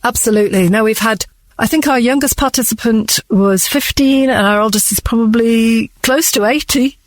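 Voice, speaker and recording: Woman in a relaxed interview. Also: fairly high pitch, normal speed, no room sound. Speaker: drama director being interviewed. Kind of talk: conversational radio interview.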